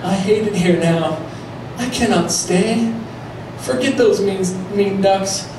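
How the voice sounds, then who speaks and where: A man's voice: high voice, takes it slowly, voice pushed up. A man voicing a hapless, put-upon young male duck, plaintive and aggrieved, in a storybook reading. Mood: hurt, defiant. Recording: noisy echoey live stage, far mic.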